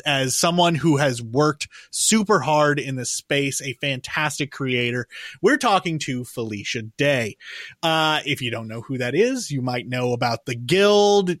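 A man's voice: fairly high pitch, fairly bright tone, no room sound, clean studio sound. A man hosting an online show, introducing it in a casual, chatty style. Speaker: show host.

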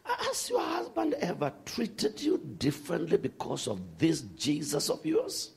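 A man preaching a sermon to a congregation, animated, swinging from shouts to hushed asides. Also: high-pitched, unhurried, gravelly, husky.

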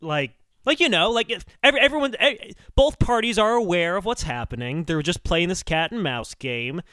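Male comedy podcast co-host: bright, forceful, very lively intonation, playful arguing, dry close sound. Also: high voice, normal speed, clear, resonant tone, no room sound. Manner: animated, mock-argumentative. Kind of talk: comedic podcast banter about a song.

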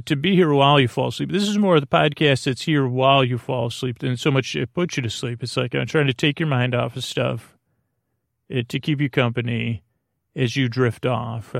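A man with a creaky voice hosting a bedtime podcast in a soothing, rambling way, halting and drifting off on tangents. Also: mid-range voice, no echo, studio quality. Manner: meandering, halting, repeats and trails off; soothing, lulling. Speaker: podcast host.

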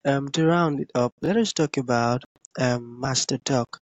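A man hosting an interview, asking slow, halting questions and often answering with "mm" and "amazing.". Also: medium pitch, no echo, lo-fi sound. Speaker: interview host. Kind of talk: casual interview conversation.

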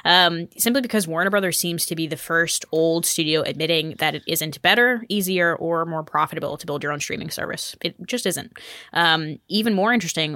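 A woman's voice: lowish voice, sharp tone, no room sound, clean studio sound. A woman giving conversational business commentary as a podcast panelist.

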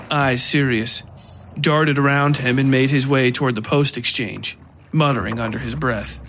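A man narrating scripted dark horror fiction as a podcast storyteller. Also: medium pitch, a little hiss or hum.

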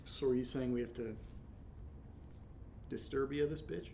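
A mellow-voiced, awkward man played by a male actor in a horror audio drama. He is hesitant and understated, with a soft dark tone over faint noise and rumble. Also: fairly even intonation, unhurried, gravelly. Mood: dry, questioning. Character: awkward, guilty, reserved male friend, dryly questioning.

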